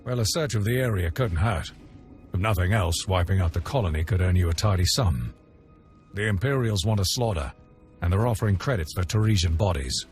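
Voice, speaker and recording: An actor playing a proud, stern warrior camp commander in a video game, formal and curt. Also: male voice, deep voice, animated, fairly quick, a little mellow, soft background music. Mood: matter-of-fact.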